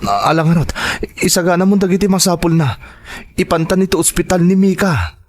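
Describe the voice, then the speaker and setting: A man's voice: sits high, a little fast. A man with an Ilocano accent playing a worried grandfather in a drama, with frequent dismayed exclamations. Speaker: father and grandfather character.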